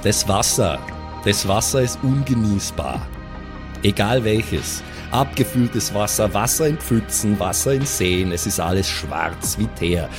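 A man's voice: fairly low pitch, expressive, measured pace. A man acts out an unfriendly Austrian camper in an improvised role-play audio drama. He grumbles in blunt, colloquial phrases, with prominent music underneath. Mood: grave, grumbling. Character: grumpy, unfriendly Austrian festival camper Johann.

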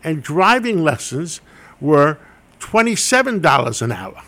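A man's voice: a little high, very animated, unhurried, pushed and bright. An older man, a Greek speaker from childhood, telling wry, self-deprecating stories as an interview guest. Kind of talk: interview storytelling.

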